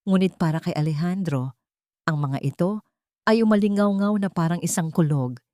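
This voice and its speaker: Low-voiced woman narrating a story. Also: normal speed, no echo, studio quality. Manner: soft, solemn storytelling. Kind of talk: scripted audio drama narration.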